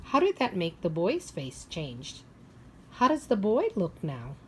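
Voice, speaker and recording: A woman teacher reading a picture book aloud to young children, expressive and instructive, often pausing to ask them questions. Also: on the low side, a little hiss or hum. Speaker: preschool or primary teacher.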